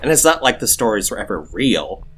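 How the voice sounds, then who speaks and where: Husky, animated, sardonic man. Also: fairly low pitch, fairly quick, faint music behind. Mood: musing. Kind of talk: audio drama dialogue.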